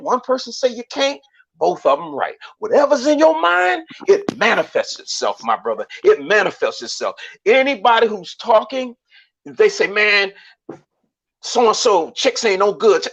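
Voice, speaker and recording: A man's voice: high-pitched, a bit bright. A man raised in Savannah, Georgia, with a Southern accent, talking in a casual, preachy way with many restarts. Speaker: show host. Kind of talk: casual motivational talk. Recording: dull lo-fi audio.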